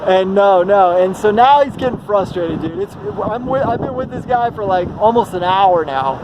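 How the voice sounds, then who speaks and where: High-voiced man telling a casual story, noisy background. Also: moderate pace, muffled recording. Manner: animated, slangy, acts out dialogue. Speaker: travel vlogger. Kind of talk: casual, rambling personal storytelling.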